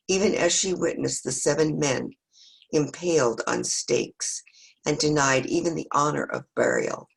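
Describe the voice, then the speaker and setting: A woman narrates a dramatic Bible story from a script for a church. Her voice is husky with a gravelly creak. She reads with plenty of movement in her pitch. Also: rough recording. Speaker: church reader.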